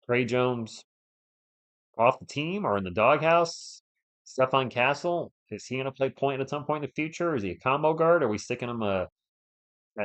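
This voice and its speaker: A man hosts a live sports stream while slightly under the weather. He mixes casual fan play-by-play with conversational replies to viewers, whom he greets by name. Playful asides are scattered through his commentary. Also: medium pitch.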